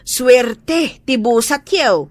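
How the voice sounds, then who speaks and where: A woman with a Filipino accent playing a kindly, grateful old fish vendor in an Ilocano radio drama, gentle and unhurried. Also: on the high side, expressive, no echo, full character voice. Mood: grateful, friendly.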